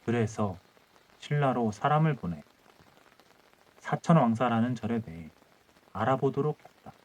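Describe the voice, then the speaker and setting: A man's voice: medium pitch, lively intonation, a little slow, a little mellow, rough recording. A man narrating a scripted historical folktale as an audiobook storyteller.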